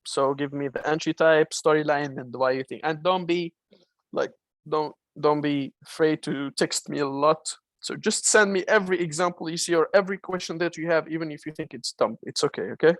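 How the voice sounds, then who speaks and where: Creaky young man coaching trades. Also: on the high side, moderate pace, fairly bright tone, no room sound. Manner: casual and encouraging, slangy. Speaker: trading mentor. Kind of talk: live online trading lesson.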